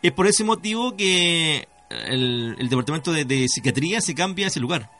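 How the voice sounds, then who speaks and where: A Chilean man hosting a conversational radio show on mysteries, speaking haltingly as he searches for words. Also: fairly high pitch, expressive, sharp tone. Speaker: radio host. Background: music.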